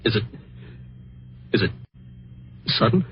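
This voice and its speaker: A man's voice: slow, natural voice. An actor playing a tense, defiant younger man in an earnest, clipped old-time radio suspense style. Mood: nervous, tense. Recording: muffled vintage radio recording.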